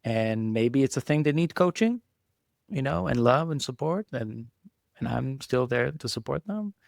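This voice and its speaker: A man's voice: mid-range voice, lively intonation, measured pace, creaky, no room sound, clean studio sound. A man, giving reflective, conversational answers as an interview guest. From Israel. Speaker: podcast guest, entrepreneur.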